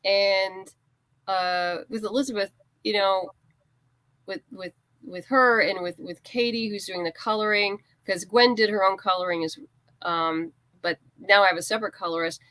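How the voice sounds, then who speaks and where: A woman's voice: mid-range voice, steady tone, normal speed, fairly bright tone, dry recording. A woman speaking as an interview guest, a writer explaining her creative work informally through anecdotes and quoted exchanges. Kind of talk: conversational interview answers, anecdotes.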